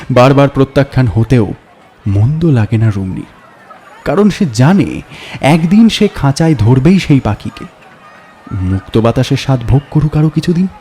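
A man narrating a crime story in a measured, suspenseful, dramatic storytelling style. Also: medium pitch, warm tone. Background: background music.

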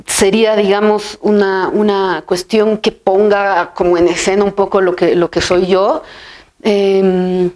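A woman in her thirties, a filmmaker from Bolivia, sits in a bright room and answers an interviewer's questions. Her voice sits in an ordinary middle pitch. She speaks reflectively, pausing on fillers and tagging her thoughts with a soft questioning "right?". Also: little pitch movement. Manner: thoughtful, many restarts and fillers, ends phrases with "¿no?". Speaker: filmmaker and writer. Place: bright room with a plain wall, seated in an armchair.

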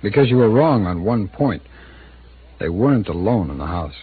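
A man's voice: lowish voice, big pitch swings, normal speed. An actor playing a guarded, evasive male city attorney who hesitates and hints, in a 1950s radio drama. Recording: tinny lo-fi old radio broadcast with hiss.

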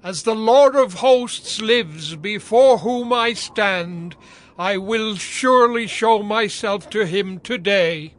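An actor playing a stern, fervent Hebrew prophet in a dramatized audio Bible, declaiming solemnly. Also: male voice, high voice, slow, a bit bright. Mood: stern, resolute. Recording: music under the voice.

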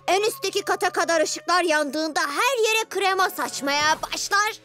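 An actor voicing a scheming young villain in a children's cartoon dub, gloating and demanding with theatrical, drawn-out boasts over music. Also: extremely high pitch. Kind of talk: animated children's series dubbing. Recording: loud music behind.

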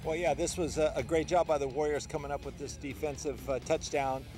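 An older man giving quick, enthusiastic color analysis on a post-game football show, outdoors at night with a handheld mic. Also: a little high, steady tone, darkish tone, soft background music.

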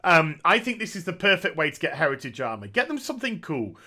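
Male commentator in his thirties, sarcastic and opinionated, bright and slightly high-pitched voice, speaking on a headset at home. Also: sing-song, quick pace. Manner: sarcastic, opinionated, jokey asides. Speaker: gaming commentator. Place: small room at home.

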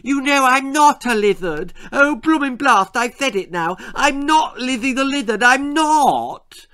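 A man's voice: very high voice, animated, unhurried, sharp tone, clean tone, pitched above the performer's own voice, heavily acted. A man voicing an honest, indignant little girl with a lisp in a children's storybook. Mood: upset, pleading. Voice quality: lisping.

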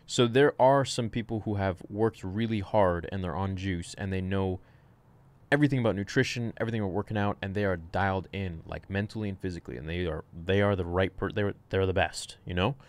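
A man's voice: deep voice, brisk. A young man casually answering fitness questions on a home livestream, rambling, frank and swearing freely, recorded by a desk mic in a small room. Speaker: fitness streamer.

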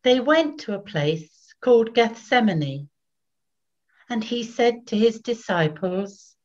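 An older woman narrates scripture from a script. Her voice is a little high and very slow, with pauses between phrases. The recording sounds slightly dull, like a webcam in a room at home. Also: expressive, darkish tone. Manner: measured, pausing between phrases. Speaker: narrator, Evangelist reader.

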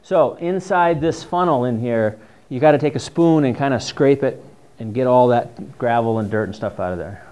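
A man's voice: medium pitch, moderate pace, a little mellow, clean tone. A middle-aged man with a New England accent, teaching a class on foraging in a dim classroom, chatty and enthusiastic. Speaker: mushroom foraging instructor. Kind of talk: casual classroom talk with slides. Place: dim classroom with projector screen. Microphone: far camera.

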